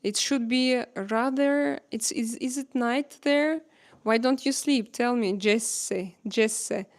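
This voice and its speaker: Non-native woman livestreamer, unhurried and halting, searching for words; smooth, clear tone. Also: on the high side. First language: not English. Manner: hesitant, many filler pauses, searching for words. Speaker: livestream host chatting with viewers. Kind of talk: unscripted live chat. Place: home in Spain.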